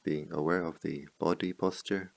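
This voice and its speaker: A man leading a guided meditation as a teacher, speaking in slow, measured phrases with pauses between them. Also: extremely low pitch, fairly even intonation, gravelly, dry recording.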